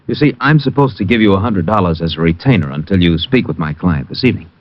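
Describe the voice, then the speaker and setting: Very deep-voiced, guarded attorney. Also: male voice, expressive, fast. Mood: guarded, businesslike. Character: bearded male attorney, guarded and businesslike shady client. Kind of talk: 1950s radio drama acting. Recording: muffled old radio recording.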